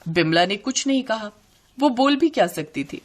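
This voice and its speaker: A woman's voice: a little high, animated, moderate pace. A woman delivering scripted story narration as the storyteller.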